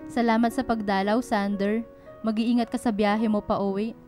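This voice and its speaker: A woman's voice: medium pitch, little pitch movement, normal speed, darkish tone, faint music behind, close to an everyday voice. An actress playing a stern but caring working mother in a radio drama, with a Filipino accent and a scolding, questioning way of talking. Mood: firm, relenting. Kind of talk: Tagalog radio drama acting.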